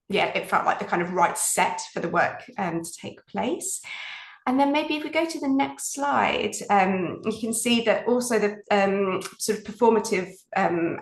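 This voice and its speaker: A woman speaks in a roomy, echoing space at a middling pitch. They present their creative work informally, thinking aloud and pausing to find the right words. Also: vocal fry. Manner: hesitant, reflective, searching for words. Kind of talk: informal artist talk with slides. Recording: roomy, echoey sound.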